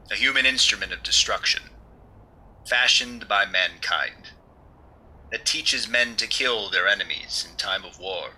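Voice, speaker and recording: Man acting a mysterious avenger, very sharp forceful voice, slow deliberate pacing, commanding, ominous, solemn, old-time radio thriller. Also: on the low side, exaggerated voice, poor audio. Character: commanding, ominous mysterious male crime-fighter. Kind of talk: 1930s radio drama acting.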